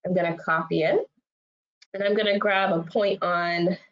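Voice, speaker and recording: A woman with a slight cold and a congested voice, leading a software training webinar in a friendly way with lots of fillers. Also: on the low side, fairly even intonation, moderate pace. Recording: dull lo-fi web audio.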